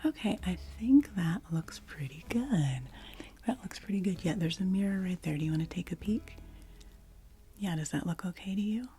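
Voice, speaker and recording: A woman whispering softly in an ASMR roleplay as a face painter, chatting gently and meandering as she works. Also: on the low side, expressive, moderate pace. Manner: soft, close whispering.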